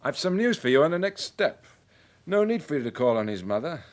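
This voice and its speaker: An actor playing a brisk, authoritative senior police officer in a radio drama, measured and matter-of-fact. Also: male voice, on the high side, big pitch swings. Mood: instructing. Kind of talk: naturalistic radio drama acting.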